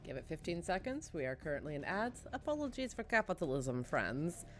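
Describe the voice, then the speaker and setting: A woman's voice: deep voice, sing-song, brisk, fairly bright tone, soft background music. A woman hosting a tabletop livestream, bubbly and chatty as she handles the ad breaks. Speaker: stream host. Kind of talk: casual livestream chat.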